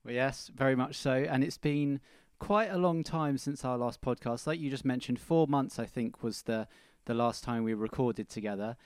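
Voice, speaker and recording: A man with a mid-pitched voice talks as a podcast co-host in an easy, conversational style. The recording is dry and close, with little room sound. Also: normal speed, darkish tone, smooth, no room sound. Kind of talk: casual football podcast chat.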